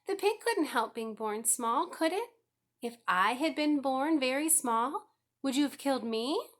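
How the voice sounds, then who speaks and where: A storybook farm girl of about eight, acted out by a woman reader, high-pitched and passionate. She pleads and protests with great emphasis and indignation. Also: lively intonation, measured pace, fairly bright tone, heavily acted. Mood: tearful pleading.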